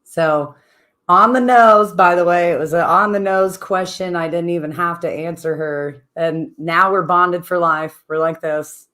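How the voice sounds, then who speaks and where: Raspy, hoarse chatty woman co-host. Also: lowish voice, measured pace, a bit bright. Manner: laughing, chatty. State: hoarse after a trip. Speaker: radio co-host. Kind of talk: casual on-air chat.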